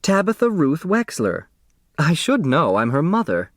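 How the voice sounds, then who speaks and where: A man's voice: fairly high pitch, huge pitch swings, unhurried, no echo, clean studio sound, voice pushed up, heavily acted. A man voicing a haughty, assertive mother in an audiobook, snippy and sure of herself.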